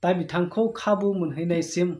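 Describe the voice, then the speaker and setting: A young man talking slowly and earnestly to camera in a small plain room, recorded close on a phone for his vlog. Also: high-pitched, warm tone. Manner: slow, earnest storytelling to viewers. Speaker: vlogger. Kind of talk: casual talking-head vlog.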